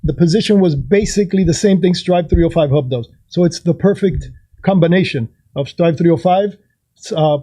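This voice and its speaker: A man's voice: fairly high pitch, normal speed, warm tone. A man co-hosting a podcast, talking casually and eagerly, jumping in to add points. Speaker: podcast co-host. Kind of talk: casual chat.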